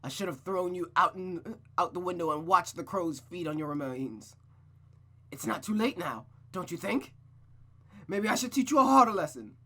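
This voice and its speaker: A woman voicing an abusive, furious religious mother who shouts cruel threats at her daughter, read from a visual novel. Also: fairly low pitch, expressive, normal speed, pitched above the performer's own voice. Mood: enraged. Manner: shouting, venomous.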